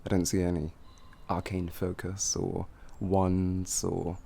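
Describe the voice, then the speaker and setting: A man playing a cocky, flirtatious highwayman who teases and gloats in an improvised fantasy roleplay spoken to the listener. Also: completely flat delivery, slow, gravelly, mellow, breathy edge, heavily acted. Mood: smug, teasing. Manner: teasing, gloating, chuckling, improvised hesitations.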